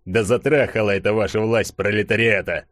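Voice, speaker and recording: A man's voice: on the low side, steady tone, a little fast, a bit bright, no echo, clean studio sound, lightly acted. A man voicing a big bearded peasant grumbling about hard times.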